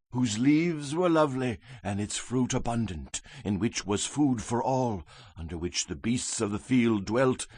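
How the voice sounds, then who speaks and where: A man's voice: medium pitch, husky, no echo. A man playing an earnest, grave Hebrew prophet, solemn and oratorical, in a dramatized scripture reading. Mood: respectful.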